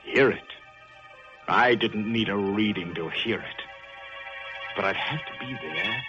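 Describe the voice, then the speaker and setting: An actor playing a guilt-haunted, paranoid shipwreck survivor in intense, melodramatic old-time radio suspense. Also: male voice, on the low side, unhurried, close to an everyday voice. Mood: paranoid, resolved. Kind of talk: old-time radio suspense acting, first-person narration. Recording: muffled vintage radio recording with music.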